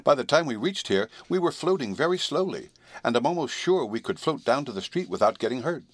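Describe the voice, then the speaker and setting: Man doing an earnest little girl, pitched up. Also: very animated, fairly quick, no room sound. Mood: reasoning.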